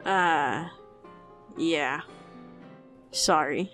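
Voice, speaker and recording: A woman voicing a meek, kindly young woman in a cartoon dub, gentle, hesitant and apologetic. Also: low voice, very slow, soft background music, exaggerated voice. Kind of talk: animated web comic dub acting.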